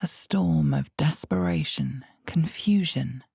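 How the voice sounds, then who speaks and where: A woman playing the brooding narrator of a dark fantasy game, speaking in solemn, deliberate, suspenseful scripted narration. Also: low-pitched, vocal fry, husky, dry recording, studio quality, full character voice. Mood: ominous, brooding.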